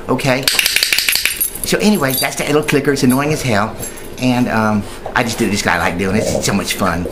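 A man with a mid-range voice gives an informal, chatty how-to talk as a union member. He rambles in a folksy way, hesitating and repeating himself. It sounds like an amateur recording made at home, with noticeable noise in the background. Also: medium pitch. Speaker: union member giving tips. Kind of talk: informal training video, chatty monologue.

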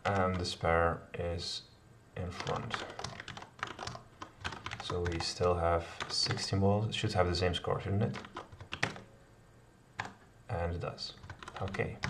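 Slow, deep, gravelly man thinking aloud. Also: little pitch movement. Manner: hesitant, full of fillers and self-corrections. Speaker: programmer live-coding a kata. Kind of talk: thinking aloud while coding. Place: home office, indoors. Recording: distant voice with clear background noise. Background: keyboard typing and clicks.

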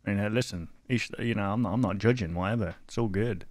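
Low-voiced young man, casual reactions. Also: fast, a little mellow, no room sound. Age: 20s. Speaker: reaction video host. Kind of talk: casual reaction commentary, reading on-screen text aloud. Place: small room at home, indoors. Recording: clear background noise.

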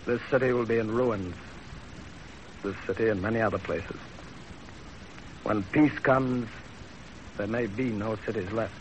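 An actor playing a commanding, probing Chinese general in a 1930s radio drama, speaking with deliberate authority. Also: male voice, lowish voice, close to an everyday voice. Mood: sober, deliberate. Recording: muffled, hissy 1930s radio recording.

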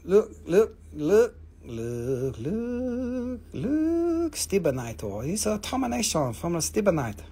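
A man hosting a live rock sale, joking, swearing and rambling in fast auction patter. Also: sits high, wildly swooping pitch, warm tone, breathy edge. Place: mineral shop indoors.

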